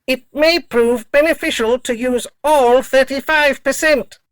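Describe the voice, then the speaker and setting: A woman's voice: high-pitched, unhurried, clear, resonant tone, no room sound, studio quality. A woman playing a polite, loyal robot dog in an audio drama, speaking in clipped, formal, literal phrases. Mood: dutiful, matter-of-fact.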